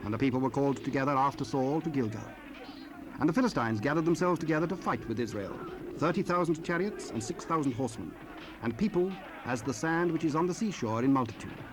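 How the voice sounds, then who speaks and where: A man narrating dramatized scripture in a solemn, formal, measured manner. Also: mid-range voice. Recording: faintly noisy cassette rip.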